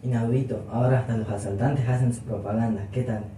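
A man's voice: mid-range voice, fairly even intonation, fairly quick, crowd noise, close to an everyday voice. A man playing a cowardly, gluttonous, lazy policeman in a comic skit, whiny and self-excusing. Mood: indignant. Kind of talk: amateur comedy skit acting. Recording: echoey room, distant mic, background noise.

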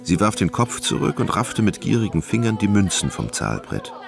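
A man narrating a dark literary radio drama as a grave, measured storyteller. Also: fairly low pitch, very animated, creaky. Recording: faint music behind the voice.

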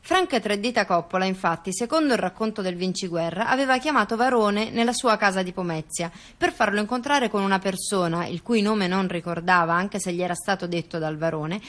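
A woman reads out court records for a radio theater piece. Her delivery is measured and formal. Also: medium pitch, a bit bright. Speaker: theater performer.

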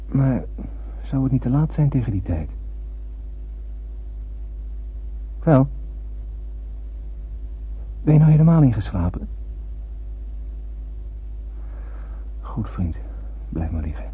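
An actor playing an earnest, reflective spacefarer who narrates a radio drama in a lyrical way. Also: male voice, medium pitch, very animated, moderate pace, pauses often, breathy edge. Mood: curious, friendly. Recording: tinny old radio recording, faint hum.

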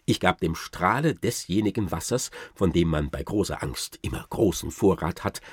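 Radio play role: boastful baron, low husky voice, ornate wry storytelling. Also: male voice, no room sound, clean studio sound. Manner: ornate, deliberate, dryly ironic, expressive. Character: boastful old-time baron telling tall hunting tales. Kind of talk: theatrical first-person storytelling in a radio play.

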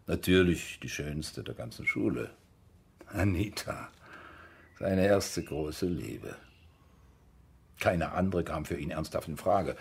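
An actor playing a wry former soldier who recounts his past in colloquial German radio drama monologue, with a gravelly, husky voice. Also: low voice, a little mellow, full character voice. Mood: reminiscing, wry.

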